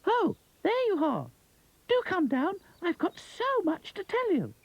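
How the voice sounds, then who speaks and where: A man's voice: very high voice, huge pitch swings, unhurried, vocal fry, no echo, muffled recording, pitched above the performer's own voice, full character voice. A man voicing a prim, polite female toy princess. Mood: eager.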